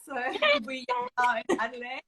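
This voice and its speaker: A woman's voice: sits high, big pitch swings, measured pace, sharp tone. A woman from South Africa hosting a casual live video chat, interviewing a guest in an upbeat, chatty way. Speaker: host.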